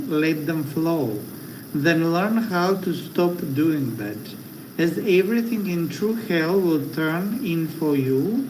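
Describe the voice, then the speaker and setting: A man who is not a native English speaker, speaking haltingly at an online poetry reading, pausing to search for words. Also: fairly high pitch, background noise. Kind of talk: hesitant chat and poetry reading. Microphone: video call.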